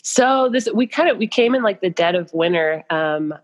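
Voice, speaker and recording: A woman's voice: lowish voice, animated, normal speed, long reverb tail, lo-fi sound. A young adult American woman speaking as a podcast guest, telling stories casually in loose, informal phrases with many asides. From United States. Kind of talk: casual conversational storytelling.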